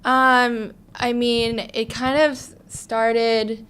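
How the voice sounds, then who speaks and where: A young woman from Hong Kong being interviewed, answering softly with frequent ums and small laughs. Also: a little high, flat delivery, drawn-out words, smooth. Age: young adult. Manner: soft-spoken, frequent ums and little laughs. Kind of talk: casual interview.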